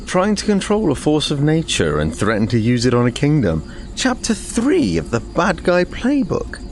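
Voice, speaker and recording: An actor playing a cocky, theatrical squad leader of rangers in an audio drama. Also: male voice, fairly high pitch, wildly swooping pitch, normal speed, gravelly, full character voice. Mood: wry.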